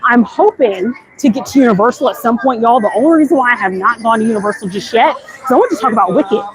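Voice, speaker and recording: A woman's voice: fairly high pitch, expressive, fast talker, crowd noise, low-quality recording. An American woman hosting a casual walking livestream, chatty and enthusiastic, slangy in the way she addresses viewers. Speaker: vlog host. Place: walking outdoors in a busy theme park. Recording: live outdoors with clear background noise. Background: theme park ambience.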